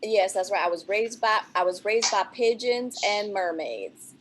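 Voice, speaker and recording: A woman's voice: medium pitch, lively intonation, a little slow, slight background noise. A woman riffing playfully in an improvised comedy chat, often laughing at her own absurd lines. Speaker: guest.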